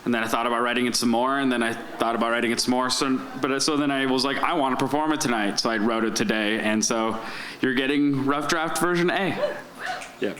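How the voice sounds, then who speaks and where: A man's voice: mid-range voice, fairly quick, fairly bright tone. A man performing a wry, self-deprecating spoken-word comedy monologue at a live open mic in a lounge, speaking into a stage microphone. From Soviet Union, raised in the United States.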